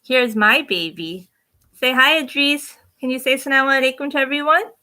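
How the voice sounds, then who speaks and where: A woman's voice: sits high, animated, moderate pace, sharp tone. A woman hosting children's story time, reading a storybook expressively with simple asides and questions for young listeners.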